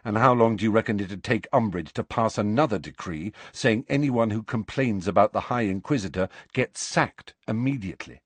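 A weary teenage boy answering flatly and dully, as a man reads him. The voice stays low and husky, recorded close and dry. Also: moderate pace, few pauses, no echo. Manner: flat and dull.

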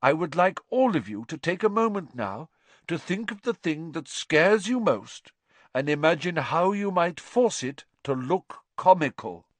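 A man voicing a kindly, cheerful male professor in a gently theatrical, encouraging manner. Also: fairly high pitch, sing-song, unhurried, no echo, voice pushed up. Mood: cheerful teacherly. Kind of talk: audiobook character voice.

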